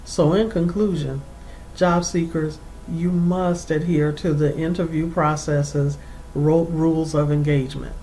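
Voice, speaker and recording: A career coach speaks in a mid-range voice, laying out advice in formal, measured phrases. The delivery is deliberate and instructional, like a prepared lesson. A faint noise and low rumble sit behind the voice. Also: little pitch movement, mellow, low-quality recording. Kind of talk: instructional advice to camera, semi-scripted.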